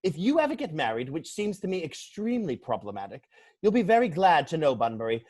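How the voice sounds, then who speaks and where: A man playing a witty, flippant young English gentleman in a stage comedy reading, declaiming with pompous mock seriousness. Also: a little high, big pitch swings, a little fast. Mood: breezy, arch.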